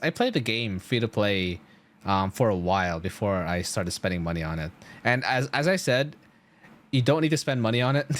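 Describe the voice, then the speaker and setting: A man streaming a game, chatting casually to viewers in an enthusiastic, slangy, rambling way. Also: medium pitch.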